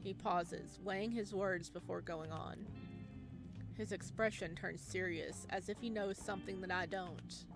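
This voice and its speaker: A woman's voice: on the low side, steady tone, a little slow. A woman playing a story game, reading its text aloud and adding her own reactions, with game music behind her. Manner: reacts aloud between read lines. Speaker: game player reading a visual novel aloud. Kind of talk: game playthrough, reading dialogue and narration aloud.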